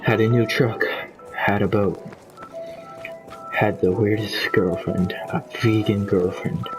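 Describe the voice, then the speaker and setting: A man improvising a comic story for his friends in a halting, deadpan manner. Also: fairly low pitch, poor audio. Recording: loud music behind. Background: loud music.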